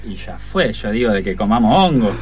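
A man's voice: lowish voice, very animated, a little fast, music in the background. A man playing a blunt, coarse, disbelieving visiting cousin in an improvised radio play. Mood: incredulous, blunt. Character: gruff, incredulous male cousin visiting from the capital.

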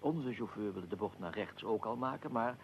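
A weary imperial archduke with a heavily creaking, gravelly voice. He speaks in formal, measured sentences with dignified restraint, as in a radio play, over a faint hiss and rumble. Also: expressive. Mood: grave recounting. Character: Austrian archduke, aristocratic, weary, recounting his own assassination. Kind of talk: radio drama acting.

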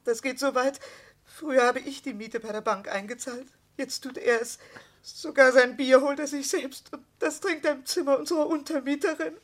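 A woman's voice: sits high, fairly even intonation, moderate pace, lightly acted. An actress in a radio play playing an aggrieved wife, earnestly complaining about her husband's slights. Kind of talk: German radio play acting.

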